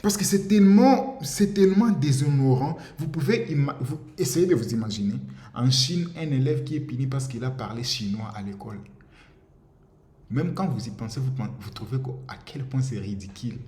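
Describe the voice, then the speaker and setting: A man's voice: mid-range voice, lively intonation, moderate pace, mellow. A man from the DR Congo, giving an impassioned monologue, insistent and repetitive, often stumbling over words. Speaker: commentator. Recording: roomy echo with faint ambience.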